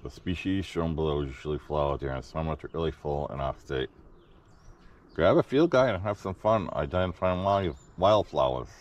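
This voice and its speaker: Very deep-voiced man muttering on a trail. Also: animated, moderate pace. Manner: offhand, muttering asides to himself. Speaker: hiker filming his walk. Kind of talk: casual spoken commentary while hiking. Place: outdoors on a wooded trail. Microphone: body-worn action camera.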